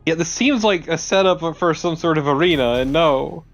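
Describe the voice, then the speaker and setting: A man's voice: fairly high pitch, moderate pace, soft background music. A man giving casual let's play commentary as a co-host, joking, sarcastic and given to swearing. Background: video game ambience.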